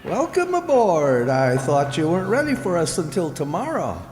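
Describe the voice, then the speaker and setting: A man's voice: on the high side, wildly swooping pitch, normal speed, a little mellow. A man acting an affable, folksy ship's skipper in an old-time radio drama, full of exclamations. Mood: affable, puzzled. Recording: echoey reverberant hall recording.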